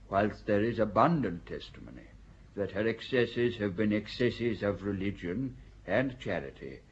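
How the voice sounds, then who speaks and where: A man voices a stern old inquisitor in an audio drama, delivering a slow, solemn, preacher-like warning in a low voice. The recording is dull and lo-fi, with a faint hiss underneath. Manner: solemn, measured, sermon-like oratory. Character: stern elderly male inquisitor, grave and persuasive.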